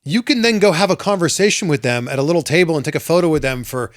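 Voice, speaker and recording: A man's voice: a little high, lively intonation, quick pace, dry recording, clean studio sound. A male host leading an interview, enthusiastic and making his points with examples. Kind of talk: interview conversation. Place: studio.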